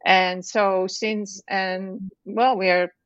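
A woman in her fifties with a Dutch accent, a children's book author being interviewed, talking in a halting way with many fillers and restarts. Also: medium pitch, level tone, no echo, muffled recording. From Netherlands. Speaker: author guest. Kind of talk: casual interview chat.

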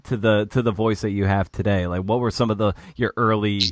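A man's voice: fairly low pitch, fairly even intonation, a little fast, dry recording, clean studio sound. Man hosting, rambling through questions. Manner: rambling, searching questions with many restarts. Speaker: podcast host. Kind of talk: interview questions.

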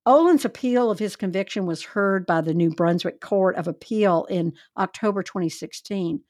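A woman hosting a true crime podcast, telling the case in a chatty, animated, wry way. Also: mid-range voice, moderate pace, dry recording, clean studio sound. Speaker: podcast host.

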